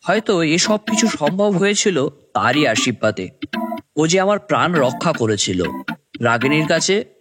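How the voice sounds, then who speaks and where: A man's voice: fairly high pitch, very animated, unhurried. A man voicing an earnest, hesitant young man who narrates his own cartoon tale. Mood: reflective. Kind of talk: first-person story narration with acted dialogue. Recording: music bed behind voice.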